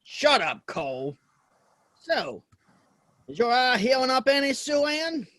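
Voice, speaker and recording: A man's voice: extremely high pitch, big pitch swings, slow, bright, forceful tone, no echo, heavily acted. A man acting an earnest, plain-spoken American cowboy in a Western audio play, shy but willing to stand up for himself, with folksy, hesitant talk. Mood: friendly.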